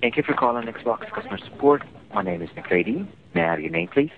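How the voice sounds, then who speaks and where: A man's voice: mid-range voice, animated, quick pace, gravelly. A man working as a customer support agent, talking formally and patiently over a telephone. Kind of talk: polite scripted phone service talk. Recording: thin, noisy telephone line.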